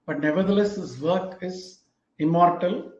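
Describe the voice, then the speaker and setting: A man in his fifties with an Indian English accent, telling stories about a book he loves in a reflective, unhurried way. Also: high-pitched, a little mellow. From Tamil Nadu, India. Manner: reflective, unhurried storytelling with fillers. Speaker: host. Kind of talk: casual book talk. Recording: echoey, dull lo-fi room sound.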